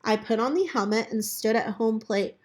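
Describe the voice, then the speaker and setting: Lady doing kids storytime read aloud, highish voice, expressive delivery. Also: normal speed. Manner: animated character voices for dialogue, chatty asides to children. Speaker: storyteller reading a children's book aloud.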